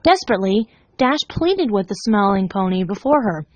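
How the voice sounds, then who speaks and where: Animated woman reading a dramatic story. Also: medium pitch, moderate pace, dry recording, muffled recording. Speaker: fan fiction reader.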